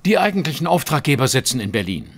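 A man's voice: mid-range voice, big pitch swings, fast. An actor playing a brilliant, self-assured male detective in a German audio drama, lecturing precisely and emphatically. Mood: grave, deductive.

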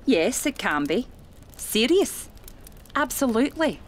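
A woman playing a seasoned, genteel spiritualist medium in a radio play, speaking in a measured, dramatic way. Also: fairly high pitch, sing-song, slight background noise. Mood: spirited.

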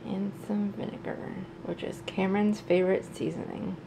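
A woman's voice: medium pitch, little pitch movement, normal speed, creaky, background noise. A woman in her thirties chatting casually and playfully while cooking and cleaning in her home kitchen, recorded on a camera mic. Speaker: vlogger. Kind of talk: casual vlog chat.

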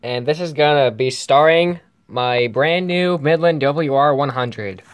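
A man giving casual, halting commentary as a hobbyist demonstrating a gadget. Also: on the high side, clear, resonant tone. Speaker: radio hobbyist vlogger.

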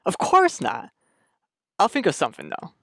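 A man plays the incredulous, loyal friend in a radio mystery. He is wry and excitable, with pitch that swings widely. The recording is dry. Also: normal speed, vocal fry, no room sound, full character voice. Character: incredulous, loyal friend drawn into grave robbing. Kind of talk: radio mystery drama acting.

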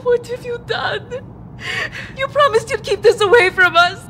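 A woman's voice: extremely high pitch, normal speed, bright, forceful tone, slight background noise. A woman playing a frightened but brave mother held hostage in a video game drama. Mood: anguished. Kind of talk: cinematic video game acting.

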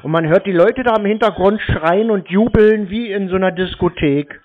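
High-voiced deadpan man, tinny phone sound. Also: moderate pace. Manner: feigning confusion, deadpan. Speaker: prank call recipient baiting telephone scammers. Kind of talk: prank phone call.

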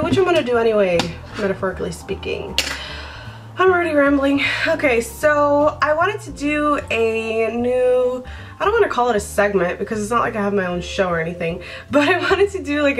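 A young woman from Brazil, Portuguese her first language, talking casually to her camera in a bedroom, rambling and laughing often. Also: medium pitch. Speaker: vlogger. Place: bedroom by a window, indoors.

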